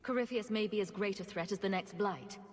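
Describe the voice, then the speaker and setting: A woman plays a sarcastic young rogue heroine in a fantasy game. Her delivery is dry and pointed, at a medium pitch, echoing through a long hall. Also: a little fast, close to an everyday voice. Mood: wry, challenging. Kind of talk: fantasy video game acting. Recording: long hall reverb, background ambience noise.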